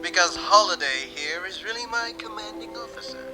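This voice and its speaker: Man acting a smug, high-pitched noir villain general. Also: expressive, fairly quick. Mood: gloating. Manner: gloating, commanding. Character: smug, treacherous army general, secretly a Soviet agent. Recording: music behind the voice.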